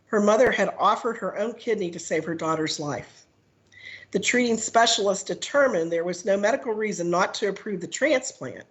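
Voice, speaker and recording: A hoarse woman giving measured prepared testimony at a legislative committee hearing, with frequent "um"s. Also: medium pitch, poor audio. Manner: measured, read-out delivery with frequent "um". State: hoarse from a cold.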